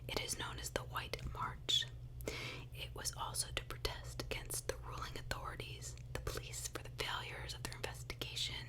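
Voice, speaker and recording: A woman's voice: normal speed, low-quality recording. A woman host narrating true crime in an ASMR whisper, emphatic, repeating phrases and dropping in personal asides.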